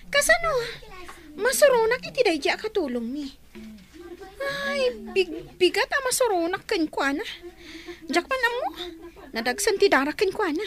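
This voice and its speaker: Woman acting a very high-pitched snappish spoiled girl. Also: sing-song, moderate pace, long pauses, fairly bright tone, full character voice. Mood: annoyed. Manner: snappish, disdainful. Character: spoiled, resentful schoolgirl daughter who scorns the maid. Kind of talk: radio drama acting in Ilocano.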